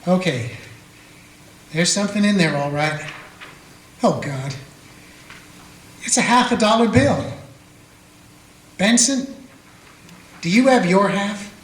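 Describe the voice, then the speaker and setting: A man playing a steady small-town Old West lawman in a radio play, telling the story plainly in the first person. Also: high voice, slow, long pauses, slight background noise. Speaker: narrator and lawman character. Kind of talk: amateur radio play acting. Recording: echoey room with guitar music.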